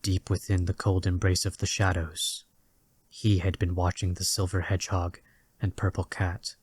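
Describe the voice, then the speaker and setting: A man reading scripted story narration in a deliberate, dramatic way. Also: low-pitched, little pitch movement, slightly rough, dry recording, clean studio sound.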